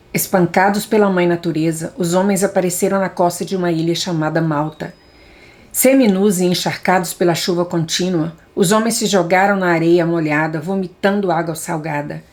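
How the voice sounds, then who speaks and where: Brazilian woman reading aloud, lowish voice. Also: darkish tone. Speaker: audiobook reader.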